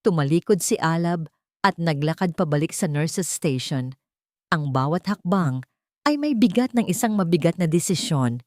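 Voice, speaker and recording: A woman's voice: fairly low pitch, lively intonation, moderate pace, darkish tone, no echo, clean studio sound. A woman reading scripted, dramatic story narration.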